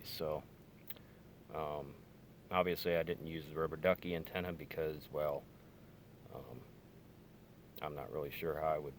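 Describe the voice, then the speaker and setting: A man giving a casual hands-on demonstration in a halting, tentative way, recorded on a handheld camera inside a car. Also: rumbling low, slight background noise. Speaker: hobbyist demonstrating radio gear. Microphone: handheld camera or phone.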